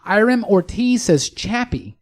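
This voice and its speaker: A man's voice: sits high, big pitch swings, unhurried. A man co-hosting a podcast in casual chat, with a playful, teasing manner. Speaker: podcast co-host.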